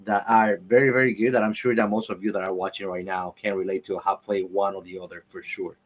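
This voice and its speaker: A man's voice: sits low, little pitch movement, fast talker. A man co-hosting a podcast, chatting casually and enthusiastically, repeating words for emphasis. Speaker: podcast co-host. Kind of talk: casual chat, enthusiastic commentary.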